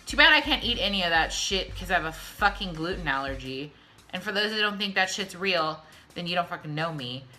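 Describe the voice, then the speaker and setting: A woman's voice: lowish voice, expressive, fairly quick, bright, forceful tone, faint music behind. A drunk woman hosting a casual, chatty question-and-answer video, blunt and brash, swearing freely.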